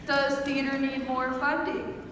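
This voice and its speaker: A woman's voice: high voice, monotone, unhurried. A young woman, a student performer, delivering a comic monologue on stage to an audience. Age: teen or young adult student. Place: school theater stage, live audience. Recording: echoey hall, far from mic, noisy.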